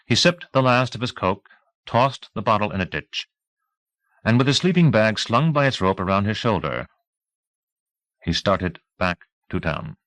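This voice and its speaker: A man with a fairly low voice narrates an audiobook novel. His storytelling is measured and clearly articulated, recorded dry with little room sound. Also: sing-song, fairly bright tone, no room sound.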